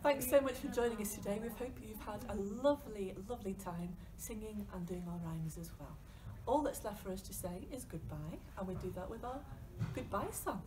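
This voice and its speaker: A woman's voice: medium pitch, brisk, echoey room, a crowd in the background. A woman closing a children's storytime, thanking families for joining and leading a cheerful goodbye song. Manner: cheerful sign-off, then singing. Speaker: library storytime leader. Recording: distant, with room noise.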